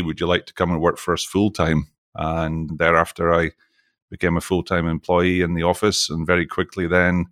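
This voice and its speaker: Scottish man, middle-aged, very low voice, dry studio sound, chatty and jokey. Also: fairly bright tone, no echo. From Scotland. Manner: chatty and jokey, with frequent restarts. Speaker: podcast guest, oil industry executive. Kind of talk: casual interview chat.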